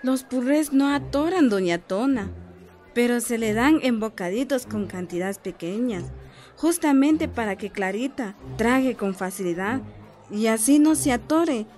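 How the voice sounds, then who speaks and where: Guatemalan village health adviser in a radio drama, friendly n sure of herself, explains patiently, slightly high voice. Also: female voice, expressive, a little slow, darkish tone, faint music behind. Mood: reassuring, instructive. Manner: instructive, patient, encouraging. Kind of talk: radio soap opera acting.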